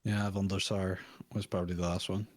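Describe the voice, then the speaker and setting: Male co-host, lower voice, slight lisp, laid-back and self-deprecating. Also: flat delivery, fairly quick. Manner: easygoing, laughs often, self-deprecating. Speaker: co-host of a football watchalong. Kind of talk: casual chat reacting to a live match.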